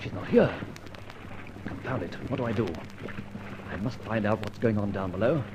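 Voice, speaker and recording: An actor playing a dashing, self-assured gentleman adventurer in an old-time radio detective serial, jaunty and clipped. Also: on the low side, normal speed, close to an everyday voice. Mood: puzzled, muttering. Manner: jaunty, clipped, theatrical exclamations. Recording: noisy old radio recording.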